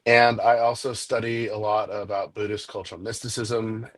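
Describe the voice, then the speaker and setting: Lowish-voiced man in his forties, halting. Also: flat delivery. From Nepal. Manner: earnest, halting, with restarts. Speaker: guest. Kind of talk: casual panel chat on a video call.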